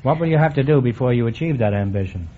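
A middle-aged man hosting a quiz show, teasing his guests with quick puns and asides before a live audience. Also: mid-range voice, expressive, slight background noise. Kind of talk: wisecracking quiz show banter. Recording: dull old radio broadcast, audience behind.

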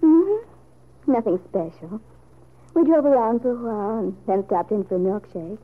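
A woman's voice: a little high, lively intonation, unhurried. A woman playing an earnest, hesitant young woman confiding in family, acted in old-time radio soap style. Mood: soft, friendly. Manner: gentle, hesitant. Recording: thin, phone-like old radio recording.

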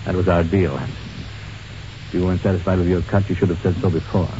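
An actor playing a calculating, self-assured art dealer turned diamond smuggler in a 1940s radio drama. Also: male voice, sits low, fairly even intonation, fast, dark tone, lightly acted. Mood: cool menace. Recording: muffled, faintly noisy old radio recording.

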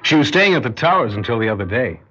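Husky, calculating crime boss. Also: male voice, medium pitch, sing-song, heavily acted. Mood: quietly threatening. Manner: measured, calculating, quietly menacing. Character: shrewd, controlling male criminal mastermind planning a heist. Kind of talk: classic radio drama acting. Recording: dull lo-fi radio drama recording.

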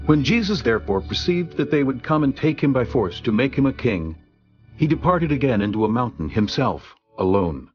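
A man narrating scripture in a steady, formal reading voice. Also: mid-range voice, moderate pace, dull audio. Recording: music underneath.

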